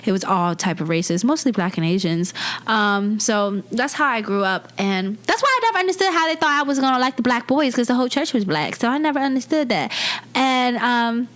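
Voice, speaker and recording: A woman's voice: a little high, lively intonation, fairly quick, fairly bright tone. A woman in her twenties, born in Vietnam, telling her life story in an interview with candid, blunt talk and frequent laughs. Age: 20s. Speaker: comedian guest.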